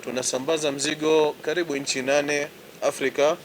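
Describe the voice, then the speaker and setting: A middle-aged man from Tanzania, a company managing director explaining his business in a measured way and switching between languages. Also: a little high, little pitch movement. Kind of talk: business presentation. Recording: noisy on-site recording.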